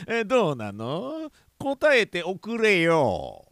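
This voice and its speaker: A man's voice: high-pitched, wildly swooping pitch, very slow, fairly bright tone, no echo, clean studio sound, full character voice. A man playing a lewd, pushy, clownish police detective in a comic audio drama, wheedling and leering. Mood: leering, teasing. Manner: leering, wheedling, yelps in exaggerated pain.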